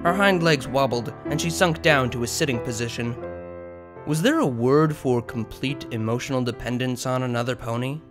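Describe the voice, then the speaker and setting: A man's voice: medium pitch, moderate pace, music in the background. A man reading scripted fiction as an audiobook narrator.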